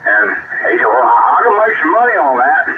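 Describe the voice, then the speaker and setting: A man's voice: medium pitch, sing-song, fairly quick. Man, Southern US accent, folksy casual talk, CB operator, thin radio band, heavy noise. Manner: folksy, colloquial. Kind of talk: casual chat and storytelling. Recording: tinny CB radio, loud static. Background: loud radio noise.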